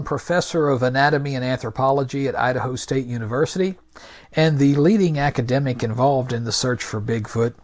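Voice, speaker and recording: A man hosting a podcast with scripted narration, delivered in a deliberate, emphatic, argumentative manner. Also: medium pitch. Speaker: podcast host.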